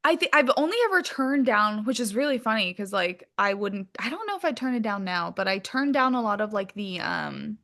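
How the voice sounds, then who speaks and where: A woman's voice: medium pitch, expressive, fairly quick, few pauses, fairly bright tone. A woman chatting casually as an interview guest, candid and very informal in her wording. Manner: candid, informal, peppered with "like", mild swearing. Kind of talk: casual chat.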